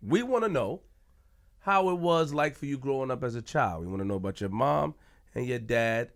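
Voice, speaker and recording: A man's voice: medium pitch, expressive, moderate pace. A man co-hosting a podcast who teasingly presses the guest for answers. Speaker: podcast co-host. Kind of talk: casual interview.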